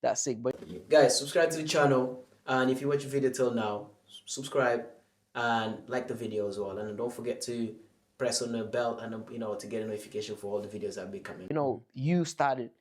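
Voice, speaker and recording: Guy hosting a chill interview, mixed accent, talks quick, lots of "you know". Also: mid-range voice. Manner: chatty, heavy on "you know" fillers. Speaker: host. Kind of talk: casual interview.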